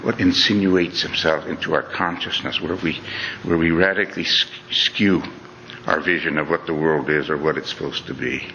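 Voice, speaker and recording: A man's voice: extremely low pitch, fairly even intonation, normal speed, creaky, sharp tone, a little hiss or hum. A middle-aged man giving an earnest, conversational talk, often saying "you know" and restarting his phrases. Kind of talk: reflective lecture to an audience. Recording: dull lo-fi recording in a reverberant hall.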